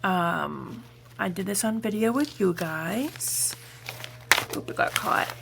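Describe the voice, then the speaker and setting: A woman talks slowly through a casual craft show-and-tell, pausing often as she goes. Her voice is a little raspy with noticeable fry at the edges, over faint background noise. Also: mid-range voice, animated, fairly bright tone. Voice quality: slightly raspy morning voice. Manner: unhurried, chatty, halting as she shows items. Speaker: craft vlogger.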